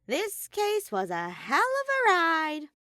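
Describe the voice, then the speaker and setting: A woman's voice: extremely high pitch, wildly swooping pitch, slow pace, pushed and bright, no echo, clean studio sound, voice pushed up, full character voice. A woman voicing a mischievous, sadistic male robot bear in an audio story, flippant and taunting. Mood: flippant, grumbling. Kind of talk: fan fiction audio story character voice.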